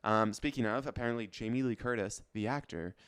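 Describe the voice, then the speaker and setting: A man's voice: mid-range voice, fairly quick, fairly bright tone, clear, resonant tone, no echo, clean studio sound. A man co-hosting a podcast, chatting casually about pop culture in an informal, slangy way with loose phrasing. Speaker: podcast co-host.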